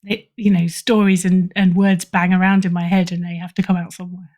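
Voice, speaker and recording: A woman's voice: fairly low pitch, little pitch movement, brisk, a little mellow. A middle-aged woman talking casually as an interview guest, chatty and self-deprecating. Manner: self-deprecating, chatty, chuckles through remarks. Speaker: podcast guest, writer and editor.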